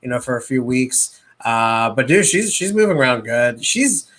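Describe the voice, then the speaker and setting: A man co-hosting a casual podcast, rambling along in a slangy, halting way. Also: mid-range voice, very animated, a bit bright. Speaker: podcast co-host. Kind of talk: casual chat.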